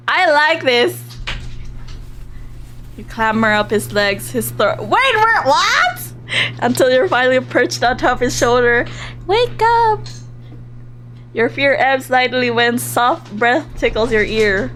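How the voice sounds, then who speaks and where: High-voiced, unhurried woman livestreaming a game. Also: a little hiss or hum. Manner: animated, reactive, giggly, drops into hushed narration. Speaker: streamer playing a visual novel live. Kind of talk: livestream game commentary mixed with reading story text aloud.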